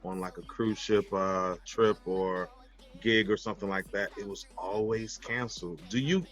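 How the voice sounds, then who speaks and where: A man hosting a relaxed interview, chatting in a friendly, joking way with an old friend. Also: fairly low pitch, normal speed, soft background music. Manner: friendly, joking, asks warm follow-up questions. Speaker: host. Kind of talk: casual interview chat.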